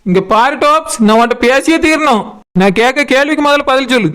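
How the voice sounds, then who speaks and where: A woman voicing an aggrieved, insistent village woman in a cartoon comedy, with a Kanyakumari Tamil accent and a pitched-up voice. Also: lively intonation, a little fast, fairly bright tone, clean tone. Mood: insistent, annoyed. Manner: accusing, insistent, name-calling. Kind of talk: animated village comedy dialogue.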